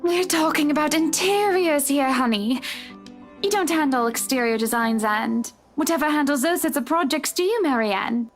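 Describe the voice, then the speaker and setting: An actress playing a chatty, teasing wealthy wife in a visual novel, with an assured, brisk manner. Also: female voice, high-pitched, soft background music.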